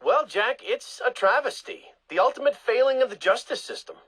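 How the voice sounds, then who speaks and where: Indignant tv political commentator, highish voice, very animated, arguing in a news debate. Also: male voice, normal speed, heavily acted. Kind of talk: heated broadcast debate.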